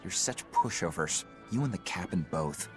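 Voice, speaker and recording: A man's voice: sits low, sing-song, moderate pace, faint music behind. An actor playing a grudging male pirate crewman in a fantasy game, sullen and grumbling.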